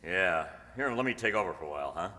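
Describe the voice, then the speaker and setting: A man's voice: deep voice, lively intonation, quick pace, sharp tone, distant mic. A man acting in an old-time radio police drama as a terse, matter-of-fact, businesslike detective. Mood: low-key. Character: terse, businesslike male police detective narrating his case. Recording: noisy background ambience.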